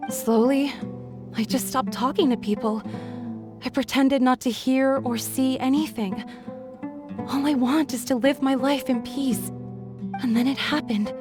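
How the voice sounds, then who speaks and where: Young villager woman for a game: timid, guarded, courteous, slightly high pitch. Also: fairly even intonation, unhurried, pauses often. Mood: downcast, guarded. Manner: hesitant, polite. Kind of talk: video game voice acting. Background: music.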